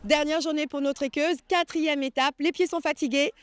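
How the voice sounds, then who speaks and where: A woman's voice: sits high, fairly even intonation, normal speed, no room sound, soft background music. A woman presenting and interviewing in a casual event-report style.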